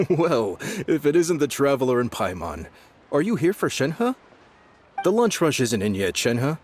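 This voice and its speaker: An easygoing restaurant chef. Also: male voice, mid-range voice, measured pace, a little hiss or hum. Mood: friendly. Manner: relaxed and accommodating. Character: friendly male restaurant chef. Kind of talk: video game voice acting.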